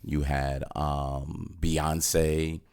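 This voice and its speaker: A man with a gravelly voice co-hosting a casual movie review, speaking in a halting, hesitant way. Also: very deep voice, little pitch movement, a little mellow. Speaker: co-host of a movie review show.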